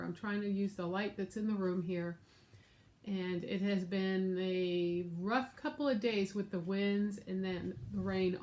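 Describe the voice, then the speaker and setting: American lady w a stuffy nasal sick voice, chatty craft vlog at home, mid pitch. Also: darkish tone. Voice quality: nasal, congested. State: sick with congestion. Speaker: craft vlogger and show host. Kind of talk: casual show-and-tell chat to camera. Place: room at home.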